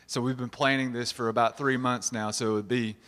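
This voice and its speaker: Man in his thirties, husky from a cold, halting testimony, mid pitch, long church-hall reverb. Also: fairly even intonation, fairly bright tone. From southern West Virginia. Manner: halting, many restarts and fillers. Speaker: doctor giving a church testimony. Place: church sanctuary.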